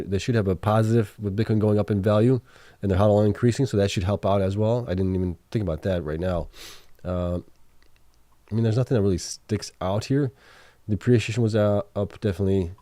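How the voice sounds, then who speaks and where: A man's voice: on the low side, fairly even intonation, fairly quick, warm tone, dry recording. A man hosting a late-night livestream, talking through financial results and viewer questions. He thinks aloud, with many fillers and self-corrections, and is starting to lose his voice. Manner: reads out viewer chat questions. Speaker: livestream host. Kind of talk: casual livestream chat reading financial results.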